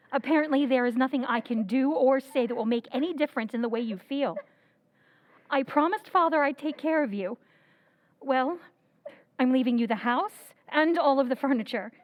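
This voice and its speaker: An actress playing Sally, a composed elder sister who speaks calmly and firmly in a radio drama. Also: female voice, high voice, normal speed, phone-quality sound, lightly acted. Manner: calm, pleading then firm. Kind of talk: 1940s radio acting.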